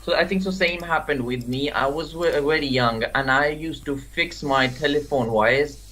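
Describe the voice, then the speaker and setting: A man who is a PhD student, speaking haltingly with many fillers on a video-call panel. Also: a little high, bright, forceful tone, a little hiss or hum, poor audio. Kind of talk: panel self-introduction.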